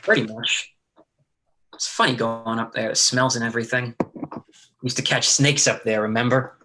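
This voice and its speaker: A man's voice: medium pitch, fairly even intonation, moderate pace, sharp tone, a little hiss or hum, close to an everyday voice. An actor reading a stage play as a sly, menacing drifter brother, terse and colloquial in his needling. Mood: wry.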